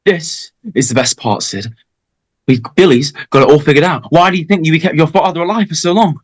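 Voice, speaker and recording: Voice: medium pitch, a little fast, no room sound, lightly acted. A performer acting a gleeful, goofy young male killer sidekick in a script read, theatrical and taunting. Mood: gloating. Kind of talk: dramatic script table read.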